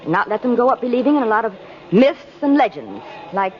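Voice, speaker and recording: A woman's voice: fairly high pitch, big pitch swings, fast talker, a little hiss or hum. An actress playing a practical, no-nonsense mother in a 1950s radio drama, polite and composed yet firm. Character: poised, practical, no-nonsense mother of a young daughter. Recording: muffled old 1950s radio recording.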